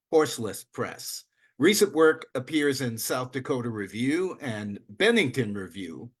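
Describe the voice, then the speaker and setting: A man's voice: medium pitch, fairly bright tone, slightly rough. A man hosting a live reading, thanking one reader and introducing the next with formal, list-like introductions. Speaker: host.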